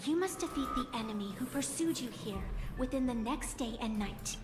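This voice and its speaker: Game role: actress as high-pitched teasing female power-granter, grandiose and unhurried, long echoing hall, music behind. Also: animated, background noise, lightly acted. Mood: amused, imperious. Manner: theatrical, slow, teasing and grandiose. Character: mysterious playful masked female power-granting entity, amused and commanding. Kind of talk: video game character acting. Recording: echoey hall reverb over loud music.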